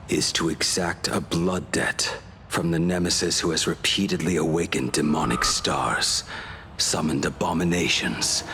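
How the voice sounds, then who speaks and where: A man playing a grim, haunted outlaw swordsman in a game cutscene, brooding and solemn, with a gravelly voice. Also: pushed and bright, slight background noise, heavily acted. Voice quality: very deep, gravelly. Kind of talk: dramatic game cutscene acting.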